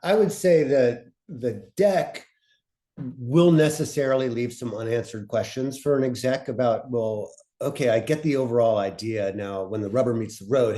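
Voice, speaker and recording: A man with a soft, mellow voice talks informally as a panelist. He explains his craft in a measured, conversational way, at an ordinary mid-range pitch. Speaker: panelist, fiction podcast director. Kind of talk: informal instructional talk.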